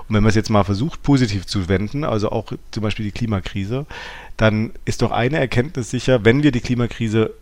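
A man's voice: lowish voice, no room sound. A man co-hosting a conversational discussion, analytical and searching for words as he builds his argument. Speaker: podcast co-host.

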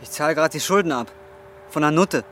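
A man's voice: on the high side, moderate pace, pushed and bright. An actor in his twenties playing a desperate young man burdened by guilt, blunt and brooding, in a naturalistic radio drama. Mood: anguished, confessing. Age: 20s. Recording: clearly noisy ambience with vehicle sounds.

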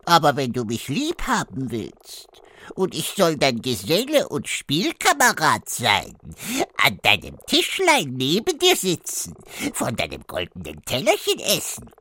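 A man voicing a male frog in a fairy tale, in a high, drawn-out, croaking way. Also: wildly swooping pitch, bright, forceful tone, dry recording, exaggerated voice. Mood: pleading. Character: pleading slow-voiced male frog.

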